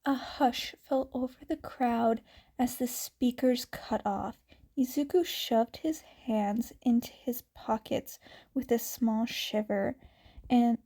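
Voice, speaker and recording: A woman reads a fan fiction story aloud slowly, with quiet, calm narration. Her voice is fairly high and her tone stays mellow and warm. She takes her time over each phrase. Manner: slow, quiet, calm reading. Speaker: podfic reader.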